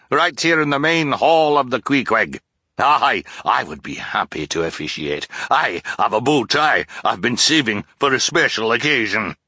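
A man voicing a blustering, excitable ship captain who shouts and tacks "aye" onto everything. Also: mid-range voice, dry recording, studio quality, pitched above the performer's own voice. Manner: loud, exclaiming, tacks on "aye" constantly.

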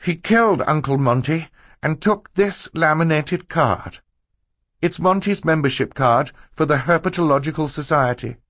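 A man's voice: on the high side, moderate pace, fairly bright tone, dry recording, studio quality, pitched above the performer's own voice. A man voicing a determined, exasperated young girl in a storybook, explaining things earnestly and emphatically. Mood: urgent, insistent.

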